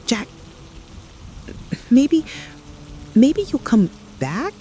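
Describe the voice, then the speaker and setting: A woman playing an anxious, loving wife and mother in a scripted radio drama, speaking haltingly and intimately, as if pleading. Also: fairly high pitch, big pitch swings, warm tone, a little hiss or hum, heavily acted.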